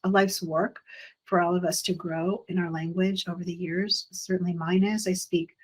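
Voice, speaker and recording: A middle-aged woman, a school administrator, talking parents through slides at an orientation, pausing often with ums and restarts. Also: lowish voice, level tone, husky, poor audio. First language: English. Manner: halting, many ums and restarts.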